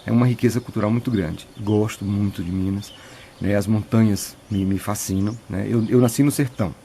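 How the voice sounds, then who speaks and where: Soft-spoken priest. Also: male voice, on the low side, a little fast, a little hiss or hum. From Piauí, Brazil. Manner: thoughtful, many restarts and filler "né". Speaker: retreat preacher, priest. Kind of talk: reflective interview answers.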